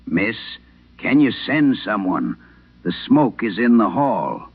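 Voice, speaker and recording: A man's voice: fairly low pitch, very animated, slow, husky, no echo, pitched above the performer's own voice, exaggerated voice. A man playing a timid little boy, muffled. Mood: scared, pleading. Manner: timid, pleading. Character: frightened small ghost boy, six or seven, pleading. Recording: muffled band-limited old radio sound.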